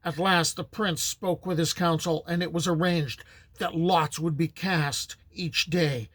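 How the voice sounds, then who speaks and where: Middle-aged american guy reading old folk tales, kinda high voice, super dry close mic, easygoing pace. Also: steady tone, fairly bright tone, no echo. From United States. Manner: plain, unhurried storytelling voice with small restarts. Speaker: storyteller and channel host. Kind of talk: folktale narration.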